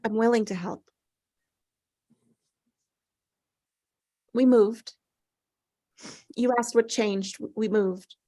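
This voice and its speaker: Actress as halting, grieving older mother, close dry sound. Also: mid-range voice, steady tone, dark tone, no room sound. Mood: wistful. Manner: halting, searching for words. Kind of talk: scripted drama table read.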